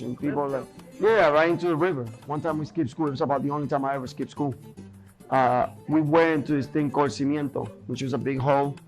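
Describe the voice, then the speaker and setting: Boxer guy from puerto rico, kinda high voice, talks real candid, keeps saying you know what i mean, soft music behind. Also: low-quality recording. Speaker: boxer and gym owner. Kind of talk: documentary interview.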